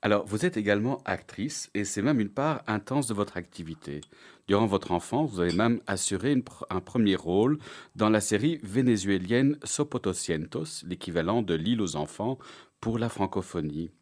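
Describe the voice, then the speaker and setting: Male host, lowish voice, calm formal questioning. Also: normal speed. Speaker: radio interviewer, host. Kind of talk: interview questions.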